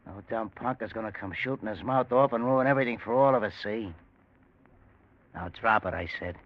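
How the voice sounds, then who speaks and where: An actor playing a gruff, menacing, slow-witted hoodlum with tough slangy talk in a 1940s radio drama. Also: male voice, on the low side, natural voice. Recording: muffled old radio broadcast.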